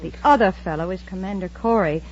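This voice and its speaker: A woman's voice: medium pitch, brisk, natural voice. Woman playing a cool villainess, muffled old radio. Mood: coldly commanding. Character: cool, commanding female villain with polite front. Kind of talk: 1950s radio serial acting. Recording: muffled, noisy old-time radio recording.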